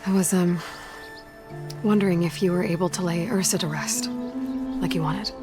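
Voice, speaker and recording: A woman's voice: fairly low pitch, fairly even intonation, moderate pace, over music, natural voice. A woman playing a blunt, determined young heroine in a video game, speaking in a direct, dry way. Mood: gentle. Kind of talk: video game character acting.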